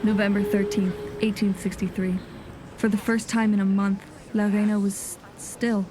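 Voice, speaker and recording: A woman's voice: mid-range voice, flat delivery, normal speed, close to an everyday voice. Audio drama: actress as haunted woman ship's captain, 1860s log. Solemn, formal, level intonation, prominent music underneath. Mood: haunted, brooding. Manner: solemn, formal, old-fashioned diction. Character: grave, haunted woman sea captain keeping a 19th-century log.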